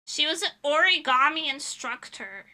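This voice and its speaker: A woman's voice: high-pitched, expressive, normal speed, sharp tone. A young woman streaming as a VTuber, chatting casually with her viewers in a rambling, giggly way.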